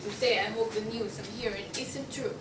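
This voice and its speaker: A woman's voice: mid-range voice, steady tone, moderate pace, natural voice. A woman reading the part of a breezy, blunt champion of frivolity in a stage play. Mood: breezy, insistent. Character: breezy, frivolous woman urging a lighter touch. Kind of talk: student table read of a stage play. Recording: distant echoey room with clear noise.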